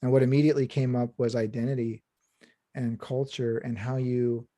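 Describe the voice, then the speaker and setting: A man with a very dark, mellow voice talks in a measured, reflective way. The sound is dry and close, with no room around it. He speaks as a historian on a discussion panel. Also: medium pitch, flat delivery, dry recording. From Southern California.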